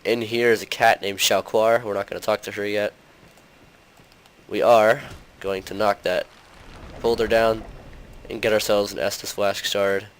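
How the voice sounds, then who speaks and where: A young man hosting a gaming channel, talking through gameplay in a casual, rambling way full of fillers, his voice slightly hoarse from a cold. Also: on the low side, flat delivery, measured pace.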